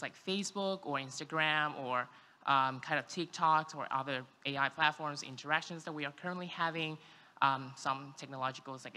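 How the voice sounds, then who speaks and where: A young man from Vietnam with a Vietnamese accent gives an informal speech as a student, halting often and peppering it with fillers, in an echoing hall. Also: fairly high pitch, pushed and bright. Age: young adult. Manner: halting, filler-heavy, frequent "like". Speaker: university student speaker. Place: large event hall, on stage.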